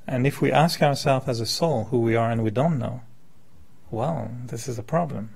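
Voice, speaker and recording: Male speaker, fifties, mid-pitched with heavy vocal fry, informal spiritual lecture, conversational and questioning. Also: moderate pace, darkish tone. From born in France, settled in Quebec. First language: French. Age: 50s. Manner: conversational, illustrates with hypothetical examples and questions. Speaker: meditation teacher, speaker. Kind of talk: informal spiritual talk to a live group.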